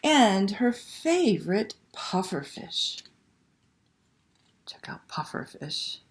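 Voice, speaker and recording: A woman's voice: mid-range voice, very animated, slow, whispered. A woman hosting a library story time, reading aloud and talking to young children with simple questions and prompts.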